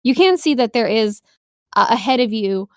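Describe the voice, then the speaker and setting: A woman's voice: fairly high pitch, expressive, moderate pace, no echo, clean studio sound. A woman improvising narration as a tabletop game master, descriptive and conversational.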